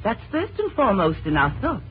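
An actress playing a genteel, middle-aged upper-class mother in a 1940s radio drama, polite, measured and condescending. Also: medium pitch, huge pitch swings. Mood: politely reserved. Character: genteel, gracious but reserved upper-class society mother. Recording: tinny, noisy old radio broadcast, distant.

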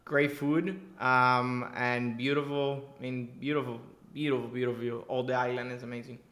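Man, from Cuba, young adult, animated, bright tone, roomy echo. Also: medium pitch, normal speed. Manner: animated, repeats words for emphasis. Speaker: podcast guest, entrepreneur and coach. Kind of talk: casual interview conversation.

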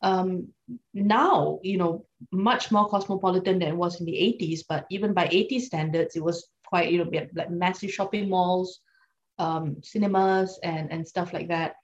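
A woman in her forties speaks in a lowish voice as a podcast guest. She talks things through thoughtfully, with many "um"s and restarts. The recording sounds dull and lo-fi. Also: moderate pace. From Singapore. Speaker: law lecturer, podcast guest. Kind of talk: conversational interview.

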